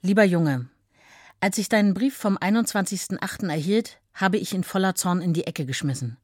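An actress playing a stern, worried mother, reading a frank letter in a firm and deliberate way for a documentary. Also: on the low side, a little mellow, dry recording, clean studio sound, natural voice.

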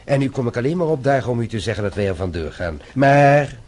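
An actor playing a calm, authoritative French police inspector in a radio play, formal and courteous, using French phrases. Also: male voice, mid-range voice, fast, lightly acted. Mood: assured. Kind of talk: radio play acting in Dutch.

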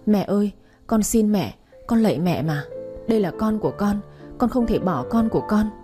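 Một phụ nữ đóng cô gái trẻ khóc lóc van xin. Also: female voice, mid-range voice, lively intonation, moderate pace, mellow, faint music behind, natural voice. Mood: pleading. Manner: sobbing, pleading. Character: young pregnant daughter, tearful and pleading.

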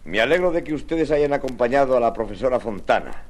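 A man's voice: mid-range voice, expressive, fast talker, lightly acted. An actor playing a confident, courteous male explorer who shrugs off danger, with formal declamatory delivery in a 1950s radio serial.

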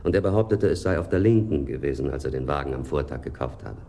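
An actor playing a polite, precise and exasperated hotel manager in a German radio crime drama, courteous yet disdainful. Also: male voice, a bass voice, animated, fast, mellow, slight background noise. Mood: indignant, dismissive.

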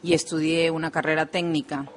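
Calm Peruvian woman radio guest. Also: sits low, a little fast, a little hiss or hum. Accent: Peruvian Spanish. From Peru. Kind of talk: radio interview. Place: local radio studio.

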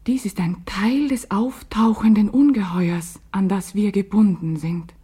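An actress playing an intense, awestruck girl in a literary radio monologue, hushed and deliberate. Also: medium pitch, breathy edge. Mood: hushed, awed.

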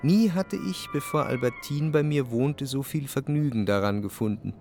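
A man playing a reflective, jealous lover who narrates his memories in measured literary prose for a radio drama. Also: medium pitch, darkish tone, soft background music, lightly acted. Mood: contemplative remembering.

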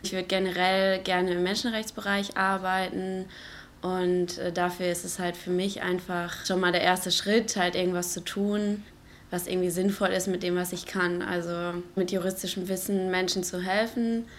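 A woman's voice: fairly low pitch, level tone, moderate pace, slight background noise. A woman in her twenties, a law student volunteer, talking casually and chattily in an interview. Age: 20s. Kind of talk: interview statement.

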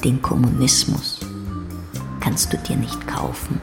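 An actress playing a blunt, mocking, earthy warehouse worker in a radio play, speaking deliberately and often in breathy half-whispers. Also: female voice, very deep voice, big pitch swings, over music, heavily acted. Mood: intense, defiant. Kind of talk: stylised radio play acting.

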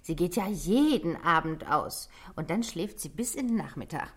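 An actress in a German radio play, playing a cheeky young housemaid who is coquettish, teasing and gossipy. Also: female voice, fairly low pitch, lively intonation, moderate pace, husky. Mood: gossipy, confiding.

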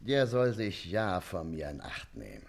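A man's voice: low voice, huge pitch swings, unhurried, vocal fry, heavily acted. Pompous, wildly swooping, vindictive man. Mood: indignant, menacing. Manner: pompous, menacing, declamatory. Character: pompous, vindictive male informer, full of threats. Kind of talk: theatrical radio play acting.

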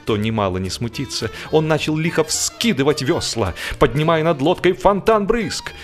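A man narrating a humorous audiobook in an expressive, theatrical way with playful pauses. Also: mid-range voice.

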